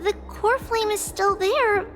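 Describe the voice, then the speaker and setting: A woman's voice: very high voice, takes it slowly, long reverb tail, music in the background. A woman voicing a tiny, sweet, earnest little girl in a video game, with a childish, wide-eyed, hesitant delivery. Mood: anxious, hesitant. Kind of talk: cute video game character acting.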